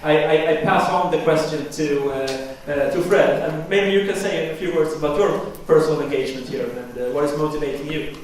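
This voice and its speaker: A man's voice: a little high, fairly even intonation, a little fast, few pauses. A man moderating a panel debate, hesitant and stammering through his restarts. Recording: echoey hall, distant mic, faint noise.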